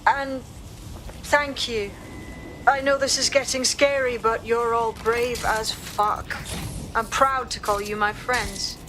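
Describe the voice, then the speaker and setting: A blunt rebel leader. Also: female voice, fairly high pitch, fairly even intonation, takes it slowly, background noise. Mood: determined. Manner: blunt, profane, rallying. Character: brash, caring female resistance leader.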